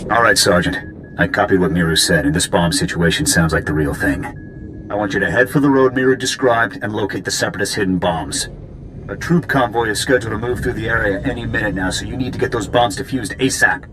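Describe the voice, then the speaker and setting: An actor playing a brisk, commanding military officer in a video game, giving orders in a clipped, authoritative manner. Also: male voice, fairly low pitch, lightly acted. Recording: clear noise behind the voice.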